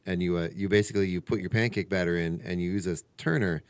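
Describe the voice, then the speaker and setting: A man's voice: low-pitched, fairly even intonation, quick pace, clean tone, no room sound. A man hosting a casual radio chat, rambling through stories in a chatty, conversational way. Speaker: radio show host. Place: radio studio.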